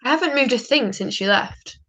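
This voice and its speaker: A woman playing a loving young wartime wife in a 1940s-style radio drama, affectionate and gently wry. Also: sits high, expressive, brisk, full character voice. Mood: affectionate, playful. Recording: dull muffled audio, faint music.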